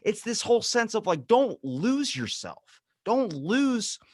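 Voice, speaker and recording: A man's voice: high-pitched, big pitch swings, takes it slowly, a little mellow, no echo, studio quality. A man in his twenties hosting a podcast, talking bluntly and swearing often in a casual rant. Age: 20s. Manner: blunt, swears a lot, ranting. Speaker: podcast host.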